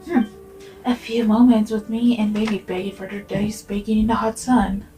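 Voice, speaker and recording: A woman voicing an easygoing, sociable young man of the desert in a fantasy game fan dub, relaxed and conversational. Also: medium pitch, moderate pace, soft background music, lightly acted. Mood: casual, thoughtful.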